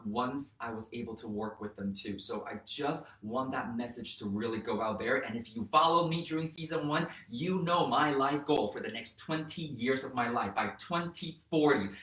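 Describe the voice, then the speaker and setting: A man's voice: mid-range voice, moderate pace, hardly any pauses, fairly bright tone. A man in his thirties whose first language is Mandarin, hosting a motivational talk and earnestly encouraging his viewers. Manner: earnest, encouraging, addresses viewers as "you guys". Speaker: host, real estate educator. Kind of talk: motivational vlog talk to camera. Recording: roomy echo, mic at a distance.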